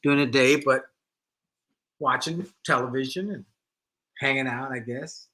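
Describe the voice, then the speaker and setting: A middle-aged man co-hosting a marriage advice show, retelling a listener's letter in an animated way and often repeating himself. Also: mid-range voice, measured pace. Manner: animated storytelling, repeats phrases.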